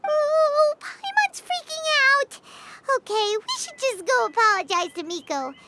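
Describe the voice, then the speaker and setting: A woman voicing a tiny, excitable, girlish companion creature in a video game, with a high, squeaky childlike voice and a bouncy, exclaiming way of talking. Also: measured pace, heavy background noise, heavily acted. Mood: worried. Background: game music.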